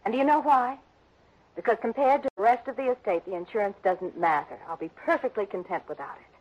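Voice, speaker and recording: An actor playing a calm, composed young heiress who presses the detective with questions, in a 1960s radio drama. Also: female voice, on the high side, brisk, natural voice. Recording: dull lo-fi old radio broadcast.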